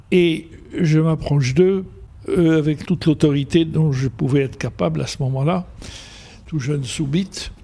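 Older male photographer guest, husky and gravelly, slightly raised pitch. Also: dark tone. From Algeria. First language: Arabic. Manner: unhurried, repeats phrases for emphasis. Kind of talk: reflective storytelling in conversation.

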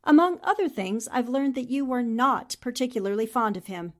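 A woman's voice: fairly high pitch, expressive, normal speed, lightly acted. A woman playing a determined, shrewd newspaperwoman sleuth, questioning formally in a 1940s-style radio drama. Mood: probing.

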